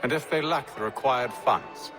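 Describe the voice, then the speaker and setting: An actor playing a dignified, reflective gentleman leader in a video game, speaking formally in a measured, articulate way. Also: medium pitch, over music, lightly acted. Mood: questioning, earnest. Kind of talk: dramatic video game acting.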